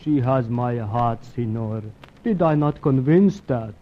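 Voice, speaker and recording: A man's voice: medium pitch, lively intonation, slow. An actor playing a lovesick, desperate male Brazilian cabaret proprietor in a radio serial, speaking in formal, florid, imploring phrases. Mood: mournful, aggrieved. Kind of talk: old radio melodrama acting. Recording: dull lo-fi old radio recording.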